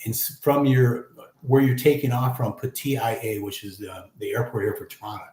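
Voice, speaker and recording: A man in his fifties hosting a relaxed live chat, reading viewer comments in an easygoing, chatty way. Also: mid-range voice, brisk. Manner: easygoing, chatty, many fillers. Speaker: livestream host. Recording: echoey room.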